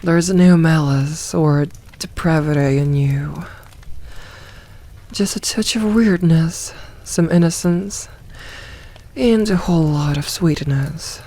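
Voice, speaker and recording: A woman voicing a possessive, teasing succubus demoness in a soft ASMR roleplay, coaxing and soothing, with some breathy moments. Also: sits low, takes it slowly, exaggerated voice. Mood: candid, possessive.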